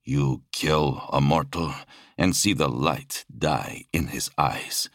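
A man voicing a grave, brooding warrior in an audiobook, speaking softly and earnestly in measured phrases. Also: rumbling low, no room sound, studio quality, exaggerated voice. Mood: haunted, sombre. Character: grave, brooding, fear-sensing male warrior brother. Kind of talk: dramatic audiobook character voice.

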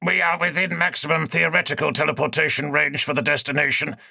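A man's voice: on the high side, level tone, fairly quick, vocal fry, pushed and bright, slightly rough, no echo, clean studio sound, pitched above the performer's own voice, exaggerated voice. A man voicing a cold, literal, machine-like male alien tech-priest who reports figures precisely. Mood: clinical.

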